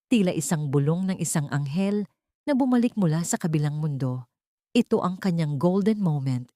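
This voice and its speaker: A woman's voice: low voice, warm tone, no room sound, clean studio sound. A woman storyteller reading a scripted dramatic audio story.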